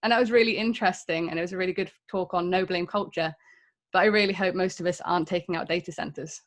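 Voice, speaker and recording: A woman's voice: lowish voice, steady tone, quick pace. A woman in her thirties with an English accent, giving a candid, self-deprecating talk to an audience of developers. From England. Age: 30s. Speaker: conference speaker.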